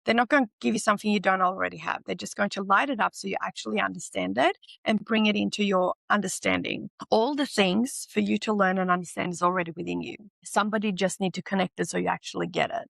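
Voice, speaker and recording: A woman from Sweden gives blunt, insistent advice and hammers points home by repeating them and swearing freely. Her voice has a gravelly fry to it. The sound is dry and close, with no room around it. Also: mid-range voice, fairly even intonation, fast, few pauses, a bit bright, no echo. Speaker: podcast host and teacher. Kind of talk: motivational monologue.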